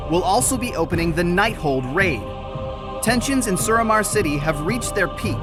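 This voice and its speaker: A man reading a scripted promotional narration in a measured, polished announcer manner. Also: high voice. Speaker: narrator of a game patch video. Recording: narration over loud music.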